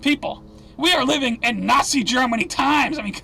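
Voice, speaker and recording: A man hosting his own news rundown, reading headlines quickly with sarcastic asides and a voice going hoarse. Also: extremely high pitch, sharp tone, slight background noise. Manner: rapid-fire, sarcastic, jumps between headlines with asides. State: losing his voice. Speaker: news commentary host. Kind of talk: casual solo news rundown.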